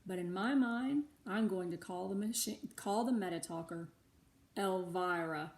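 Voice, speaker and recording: A middle-aged or older woman reading a storybook aloud to schoolchildren, animated, with chatty asides of her own. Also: medium pitch, a little slow. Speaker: read-aloud host for schoolchildren.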